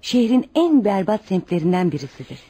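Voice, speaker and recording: A woman's voice: mid-range voice, sing-song, a little fast, mellow, husky. An actress in a radio play playing a poor, devout widowed mother, protective and anxious, who speaks in an earnest, instructive way. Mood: pious, earnest. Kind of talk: radio theater acting.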